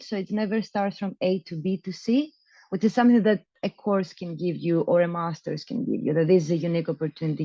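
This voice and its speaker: Young woman chatting on a video call, mellow voice, bit dull and dry. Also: fairly low pitch, no echo. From Greece. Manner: loose and hesitant, many fillers and restarts. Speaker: program graduate guest. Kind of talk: casual interview answers.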